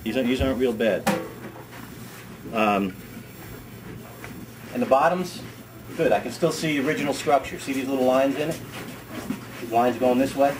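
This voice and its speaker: A middle-aged man, a ski school director, demonstrating a hands-on task in a wood-paneled workshop, talking in a relaxed, chatty way and repeating words as he works. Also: medium pitch, moderate pace, long pauses. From United States. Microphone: camera mic on a workbench. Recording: camera audio with steady background noise.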